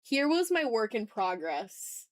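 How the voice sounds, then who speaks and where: A woman's voice: on the high side, very animated, slow pace, no echo, studio quality. A woman chatting casually on an art livestream while she draws, informal and rambling, with some swearing and self-deprecation. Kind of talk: casual livestream chat while drawing, reading out viewer comments.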